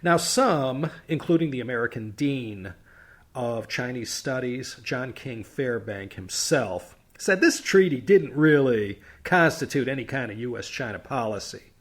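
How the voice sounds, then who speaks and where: Man, podcast host, casual storytelling, wry asides, emphatic stresses, mid pitch. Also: expressive, measured pace.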